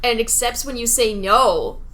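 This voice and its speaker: A woman's voice: a little high, expressive, measured pace, fairly bright tone, slight background noise. A woman chatting casually as a podcast co-host about a video game, often giggly as she talks. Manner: giggly, laughs readily while talking.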